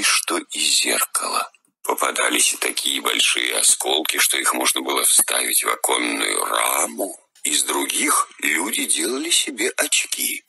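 A man narrating a fairy tale for children in a calm, husky voice that often drops to a half-whisper. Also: very deep voice.

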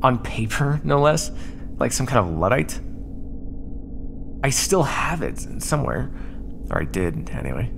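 A man's voice: medium pitch, big pitch swings, unhurried, creaky, pauses often, background noise. A young man acting out an ASMR roleplay in a teasing, bantering way.

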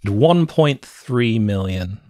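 A middle-aged man co-hosting a movie podcast, explaining his opinions in a measured, conversational way into a close microphone. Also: fairly low pitch, expressive, warm tone, no room sound. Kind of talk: conversational film review. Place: small cluttered home room. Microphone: close podcast microphone, headset.

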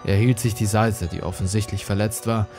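A man narrates a horror story in a fairly low voice. His delivery is scripted, measured and full of suspense. Dark music plays clearly behind him. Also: fairly even intonation.

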